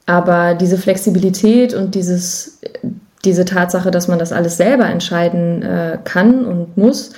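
A woman's voice: fairly low pitch, warm tone. A woman from Germany speaking casually and reflectively as an interview guest, thinking aloud as she goes. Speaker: guest, musician and songwriter.

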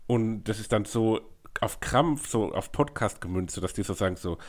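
A man co-hosting a casual music podcast, his voice nasal and stuffed up from a cold. He talks in a slightly low register, patiently explaining things to the others. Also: expressive, normal speed, darkish tone. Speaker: podcast co-host. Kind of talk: casual chat.

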